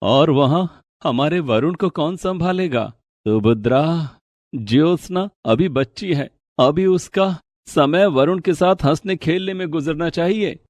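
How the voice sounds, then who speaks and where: An actor playing a caring elderly father-in-law, gently reasoning, in an animated moral story. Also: on the high side, unhurried, no room sound, natural voice. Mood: concerned.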